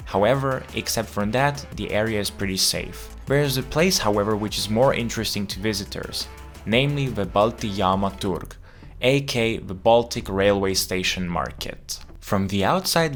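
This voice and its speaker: Man, lowish voice, chatty travel vlog narration, faint music behind. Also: a bit bright. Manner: chatty, explanatory, playful asides. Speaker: travel vlogger.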